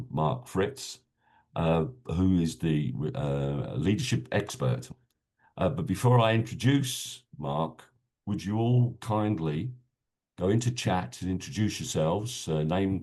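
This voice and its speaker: A man's voice: deep voice, a little mellow. A man hosting a business webinar, introducing his guest and asking questions politely, with many filler sounds. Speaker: webinar host and peer group chair.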